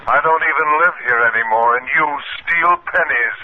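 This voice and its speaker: A man's voice: fairly high pitch, normal speed, lightly acted. An actor playing an agitated dreaming man in a 1950s radio drama, insistent and childishly fretful. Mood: petulant. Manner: petulant, insistent, repetitive. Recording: very noisy narrow-band old radio recording.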